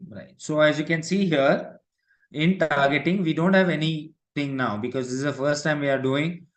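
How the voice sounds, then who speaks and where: A man's voice: on the high side, steady tone, normal speed. Indian-accented man teaching a class. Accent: Indian English. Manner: repetitive check-in tags like "right" and "okay". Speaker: digital marketing instructor. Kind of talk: live online software lesson, explanatory.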